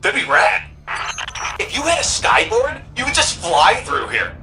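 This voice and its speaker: An actor playing an excitable, cheeky young tech-whiz sidekick in a video game, with a slangy, playful manner. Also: medium pitch, unhurried, music in the background. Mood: chatty, playful. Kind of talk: video game character dialogue.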